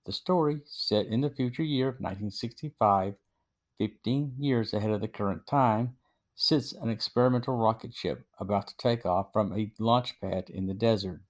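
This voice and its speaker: A man hosts a podcast with a scripted review. His voice sits at an average pitch, and he narrates in the manner of someone reading prepared notes. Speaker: podcast host.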